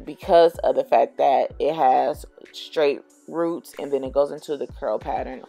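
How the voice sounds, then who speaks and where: A woman's voice: deep voice, creaky. A woman chatting casually to camera as a wig-making vlogger, explaining each step and often correcting herself. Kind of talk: casual how-to chat to camera.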